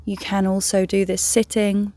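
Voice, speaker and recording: Woman, meditation guide, gentle and soothing, breathy near-whisper, mellow tone, flat calm pitch, long pauses. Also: no room sound. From England. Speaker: meditation guide, yoga teacher.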